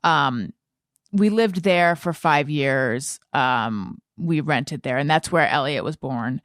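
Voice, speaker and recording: A low-voiced American woman in her forties talks in a casual podcast style. She thinks aloud, halting and rewording her sentences as she goes. The sound is dry, with hardly any room on it. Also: lively intonation, no echo. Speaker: podcast host and interviewer. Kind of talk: casual conversational interview chat.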